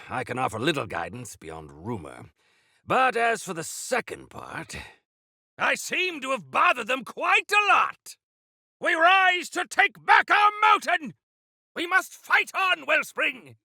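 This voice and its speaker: An actor playing a proud, stalwart older leader of mountain folk in a video game, with a rough gravelly voice and theatrical, old-fashioned formal phrasing. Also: male voice, high voice, wildly swooping pitch, measured pace, bright, forceful tone, dry recording, studio quality, full character voice. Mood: solemn, measured, then fierce, rallying. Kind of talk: video game character acting.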